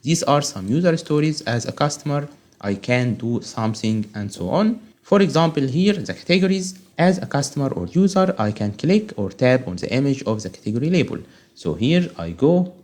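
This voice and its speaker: A man's voice: mid-range voice, big pitch swings, moderate pace, darkish tone. A man with an Arabic accent, a native Arabic speaker, giving an informal step-by-step screen tutorial as an online instructor, often checking in with "okay?".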